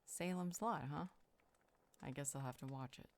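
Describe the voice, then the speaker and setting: A woman playing a curious, gently teasing vampire healer in a conversational audio roleplay, musing and coaxing. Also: sits low, moderate pace. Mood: playful, curious.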